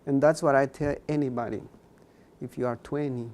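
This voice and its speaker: A man's voice: mid-range voice, steady tone, moderate pace, a little mellow. A young man, a Mayan speaker talking earnestly as an interview guest, repeating words for emphasis. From Guatemala. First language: K'iche' Mayan. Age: young adult.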